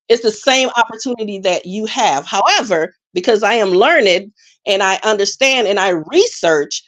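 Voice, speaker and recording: A woman, born in Jamaica and raised in the United States, speaking as she makes a long, earnest point in a live online panel discussion. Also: mid-range voice. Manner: earnest, measured, speaks at length. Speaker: panel participant.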